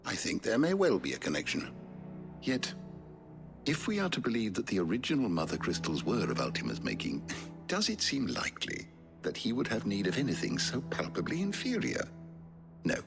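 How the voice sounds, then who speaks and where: An actor playing a learned old scholar in a video game, speaking in a formal, deliberate, erudite manner. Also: male voice, on the low side, huge pitch swings, vocal fry, music in the background, exaggerated voice. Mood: pondering. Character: learned old male scholar, measured and fond of lore. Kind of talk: dramatic video game acting, expository.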